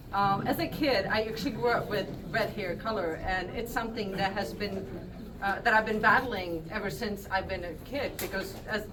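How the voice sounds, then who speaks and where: A woman from the Republic, a photographer giving an earnest, personal speech to a party crowd with small grammar slips. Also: medium pitch. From Czech Republic. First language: Czech. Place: indoor event hall. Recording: distant mic in a noisy crowded hall.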